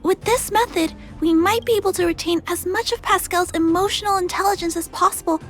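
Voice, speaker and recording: A very high, childlike girl's voice for a video game. She is gentle, polite and earnest, choosing words thoughtfully with quiet determination. Soft music sits faintly behind her. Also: brisk. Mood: earnest, hopeful. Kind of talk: scripted video game voice acting.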